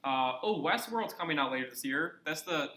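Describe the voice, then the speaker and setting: Young guy cohosting a podcast, super bright higher voice, jokey and rambly, echoey room. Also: expressive, normal speed, slight background noise. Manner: rambling, joking, asks lots of questions. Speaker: podcast co-host. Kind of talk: casual chat.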